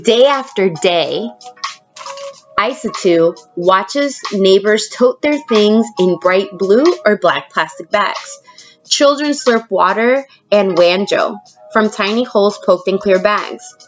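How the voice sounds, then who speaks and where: A woman teacher reading a picture book aloud expressively, pausing to prompt young listeners with questions. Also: medium pitch, animated, muffled recording, faint music behind. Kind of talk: storybook read-aloud with questions to children.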